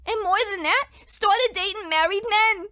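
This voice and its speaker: A man's voice: very high-pitched, normal speed, sharp tone. A man voicing a demure young woman client, coy and primly gossipy, in a parody of 1940s radio detective drama. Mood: coy, confiding.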